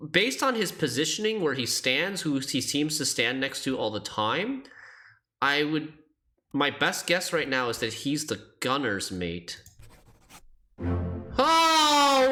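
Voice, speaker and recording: Lively, unhurried streamer thinking aloud. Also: mid-range voice, soft background music. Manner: thinks aloud, animated, self-deprecating. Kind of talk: live gameplay commentary.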